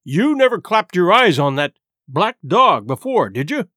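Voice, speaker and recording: A man voicing a genial but shrewd, loud one-legged sailor turned landlord in a storybook, with blustering, salty seafaring talk. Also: high-pitched, sing-song, moderate pace, dry recording, clean studio sound, exaggerated voice. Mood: loud, indignant. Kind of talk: audiobook character voice.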